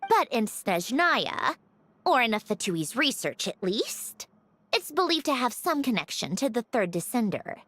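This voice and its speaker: A woman's voice: fairly high pitch, sing-song, unhurried, a bit bright, full character voice. A woman playing a proud, prickly mechanical puppet who explains things precisely and haughtily in a video game cutscene. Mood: lecturing. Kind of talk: scripted game cutscene acting. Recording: music behind the voice. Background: game soundtrack music.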